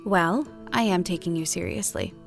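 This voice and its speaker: A woman's voice: on the low side, normal speed, gravelly, faint music behind. An actress voicing a wife who answers her husband in a dry, sardonic way.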